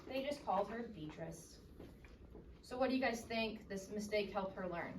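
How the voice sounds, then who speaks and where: A woman's voice: medium pitch, normal speed. A woman reading a children's story aloud expressively and interactively, recorded at a distance in an echoey, noisy room. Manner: expressive, questioning teacher-like delivery.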